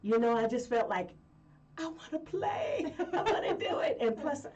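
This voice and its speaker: A woman from Colorado, a guest singer telling stories in a rambling, lively way with frequent laughs. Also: high-pitched, normal speed, distant mic, lo-fi sound. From Colorado, USA. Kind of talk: casual interview chat.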